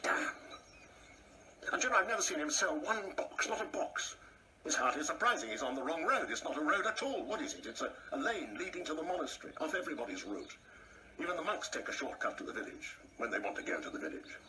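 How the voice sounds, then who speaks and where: An actor playing a pompous, easily rattled older husband in a radio play, given to indignant muttering and repetition. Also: male voice, on the high side, very animated, fast talker. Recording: noisy audio play recording.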